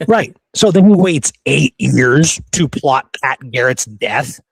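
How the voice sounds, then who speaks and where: Male history podcast host, mid-pitched, informal and emphatic, conversational delivery, close dry sound. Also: lively intonation, slow, creaky, slightly rough, dry recording. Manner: informal, emphatic, seeks agreement with "right?". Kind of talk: casual history discussion.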